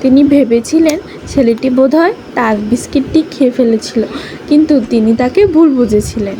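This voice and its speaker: Woman storyteller, high pitch, mellow tone, scripted children's narration, faint background noise. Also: moderate pace, low-quality recording.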